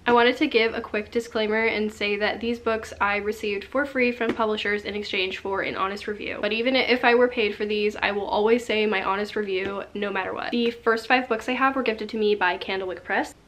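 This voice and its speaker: A woman's voice: medium pitch, steady tone, fairly quick, hardly any pauses, a bit bright, a little hiss or hum. A woman with a mild head cold and slightly nasal voice, chatting casually as host of a book vlog. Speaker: book reviewer and channel host. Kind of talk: casual scripted-feeling book haul vlog chat.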